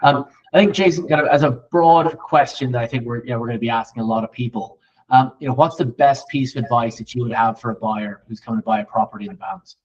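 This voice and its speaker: A man's voice: mid-range voice, quick pace, distant mic. A man's mid-range voice comes from a little way off the microphone, with a dull, lo-fi sound. He is hosting a conversational interview, asking and commenting in a rambling, agreeable manner. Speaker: interviewer, real estate host.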